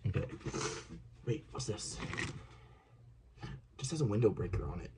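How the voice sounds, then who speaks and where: A young man vlogging casually while working at a desk, rambling and talking to himself with many hesitations and often dropping to a hush. He sounds sniffly and congested. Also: fairly low pitch, lively intonation, moderate pace. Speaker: outdoors vlogger. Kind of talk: casual hands-on vlog. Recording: noisy home recording.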